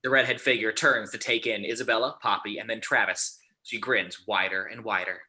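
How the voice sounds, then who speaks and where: A man's voice: lowish voice, steady tone, fairly quick, sharp tone. A man reading stage directions aloud as the narrator of a script.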